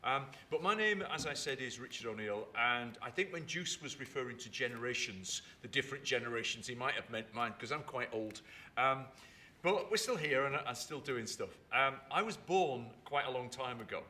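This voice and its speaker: Bright-voiced man telling stories. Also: medium pitch, lively intonation, normal speed, slight background noise. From England. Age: 50s. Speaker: storyteller and writer. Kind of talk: reflective storytelling chat. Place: theatre.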